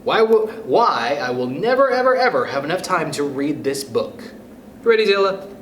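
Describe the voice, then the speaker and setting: A man's voice: a little high, big pitch swings, normal speed, fairly bright tone. A man hosting a children's storytime, reading a picture book aloud expressively and talking directly to young listeners. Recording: roomy echo with faint background noise.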